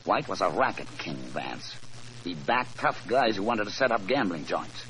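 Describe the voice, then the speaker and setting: Gangster boss for a vintage radio crime drama: scheming, slangy, lowish, swinging pitch. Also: male voice, very animated, moderate pace, fairly bright tone. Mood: bargaining. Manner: slangy, hard-boiled. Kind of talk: 1940s radio crime acting. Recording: noisy 1940s radio recording.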